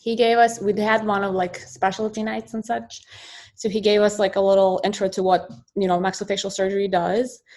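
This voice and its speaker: A woman's voice: mid-range voice, fairly quick. Chatty Ukrainian-born woman on a call. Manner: chatty, animated storytelling with many fillers. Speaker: guest surgeon and professor. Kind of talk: casual interview answers.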